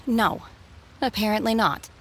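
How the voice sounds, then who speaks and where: A woman voicing an aloof, solemn young woman in a video game, speaking in a calm, formal, deadpan way. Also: mid-range voice, slow pace, background noise, natural voice. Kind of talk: video game character acting.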